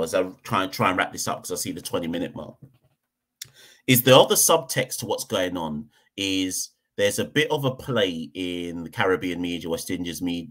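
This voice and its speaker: A man from Jamaica, hosting a cricket podcast and giving a heated opinion rant, hammering points home by repeating them. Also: sits low. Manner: emphatic, repeats points for stress.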